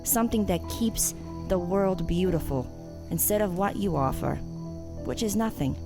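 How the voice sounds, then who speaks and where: A woman's voice: on the low side, expressive, normal speed, over loud music. A woman playing a self-righteous, menacing killer gardener in an audio drama, theatrical and condescending as she taunts her captive. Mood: cold condescension. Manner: theatrical, condescending, swinging from soft to shouting. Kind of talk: audio drama villain monologue.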